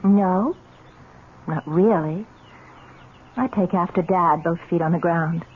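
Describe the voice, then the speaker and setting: An actress playing an affectionate but doubting young fiancée in an old-time radio drama, solicitous and probing. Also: female voice, fairly low pitch, slow. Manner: solicitous, questioning. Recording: tinny noisy old radio recording.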